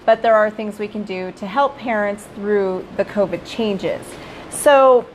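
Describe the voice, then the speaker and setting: A middle-aged woman who directs a child care center, giving casual live-streamed advice. She is hoarse from losing her voice and keeps checking in with viewers as "you guys.". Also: medium pitch, unhurried, a little hiss or hum, low-quality recording. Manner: chatty, addresses viewers as "you guys", often tags "right?".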